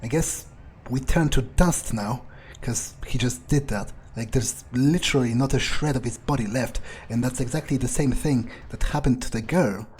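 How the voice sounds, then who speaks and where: A man playing a haughty, irritable fledgling vampire in an in-character video game playthrough, grumbling in a halting, self-important way. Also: medium pitch, a little mellow, a little hiss or hum, lightly acted. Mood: musing. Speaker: gamer roleplaying his character. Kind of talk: in-character let's play monologue.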